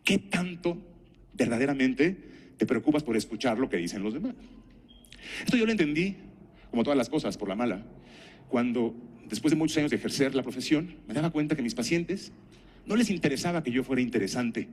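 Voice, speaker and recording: A man's voice: medium pitch, expressive, brisk, long pauses, a little mellow, slight background noise. Middle-aged Mexican man giving a talk. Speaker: conference speaker and health professional. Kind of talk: conference talk with anecdotes and rhetorical questions.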